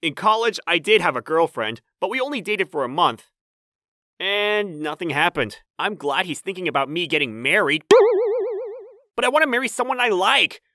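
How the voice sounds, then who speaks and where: Timid male office worker, late twenties, played by a woman: bright, lowish for female range, very animated, self-deprecating. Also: moderate pace, no room sound, clean studio sound. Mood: earnest narration. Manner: easily flustered, self-deprecating, exclamatory. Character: flustered, timid twenty-nine-year-old male office worker, narrating his life. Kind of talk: manga dub narration and dialogue.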